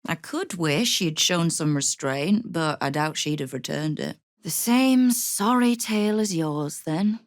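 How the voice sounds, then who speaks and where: Casting: tough thieves' guild boss for a game, female, low pitch, wry, controlled menace, dry close recording. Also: animated, a little slow, few pauses, no echo. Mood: wry, measured. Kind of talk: video game character dialogue.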